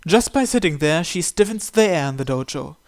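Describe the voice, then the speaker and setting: Higher-voiced man narrating a visual novel. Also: expressive, a little fast. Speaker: channel host reading visual novel text aloud. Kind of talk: dramatic first-person scripted narration.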